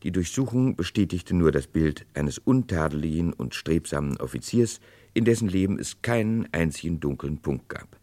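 A man's voice: sits low, animated, moderate pace, darkish tone, dry recording. A man giving dramatic scripted narration for a vintage radio crime play.

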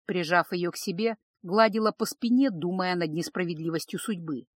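A woman's voice: fairly low pitch, steady tone, normal speed, no echo, studio quality. A woman reading a dramatic first-person story as scripted audiobook narration.

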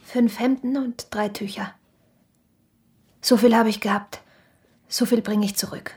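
An actress playing a proud, wounded young woman in a literary radio drama, speaking with restrained, deliberate reproach. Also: a little high, steady tone, darkish tone, close to an everyday voice. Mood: quiet, wounded.

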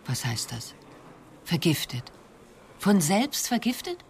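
A woman acting in a radio drama as an anxious, dismayed university secretary with a worried, breathy, questioning delivery. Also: on the low side, sing-song, slow, slight background noise, exaggerated voice. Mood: anxious, shocked.

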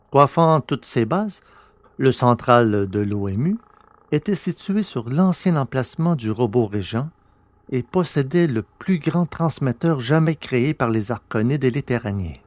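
A man's voice: mid-range voice, darkish tone. A man reading scripted story narration in the role of narrator.

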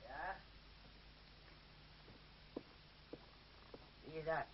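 A man's voice: fairly high pitch, normal speed, natural voice. An actor playing a timid, fearful ferryman in an old radio play, hesitant and deferential. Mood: wary. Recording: noisy, dull old radio play recording.

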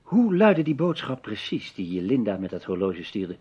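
Dull-recorded, husky radio detective. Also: male voice, lowish voice, sing-song. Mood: grave, deliberate. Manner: deliberate, probing, dramatic. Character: keen, methodical amateur detective piecing a mystery together. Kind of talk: old Dutch radio drama acting.

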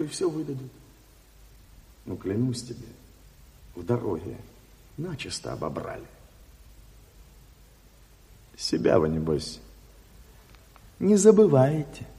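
Brazen, slow-drawling Ukrainian swindler. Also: male voice, on the high side, darkish tone, exaggerated voice. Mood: whining, wheedling. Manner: cocky, wheedling, quarrelsome drawl. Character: brazen Ukrainian swindler posing as a revolutionary invalid. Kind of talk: Soviet radio drama acting. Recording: reverberant studio radio play recording.

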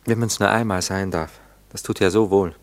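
An actor playing an idle, gallant gentleman flirt in a German radio play, teasing and languidly ironic. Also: sits low, fairly even intonation, normal speed. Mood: teasing, flirtatious.

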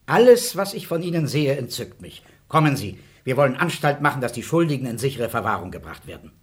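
An actor playing a gracious noble traveller in a 1960s radio comedy, speaking courtly and earnest. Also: male voice, mid-range voice, sing-song, a little fast, natural voice. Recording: old 1960s radio recording.

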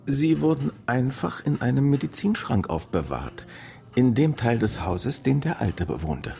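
A man's voice: mid-range voice, very animated, a little slow, creaky, dark tone, faint music behind. A man playing a matter-of-fact police inspector in a radio play, dry and factual in his delivery.

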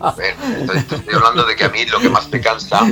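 A middle-aged man from Spain with a rough, cracked voice, talking casually as a rock singer being interviewed, rambling with many restarts and tag questions. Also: mid-range voice, animated, fast, bright, forceful tone.

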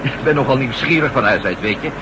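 An actor playing a dogged, inquisitive ex-astronaut reporter in a Dutch radio drama. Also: male voice, medium pitch, expressive, quick pace, natural voice. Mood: breezy, nosy. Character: nosy, dogged male ex-astronaut reporter working undercover. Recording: noisy old radio recording.

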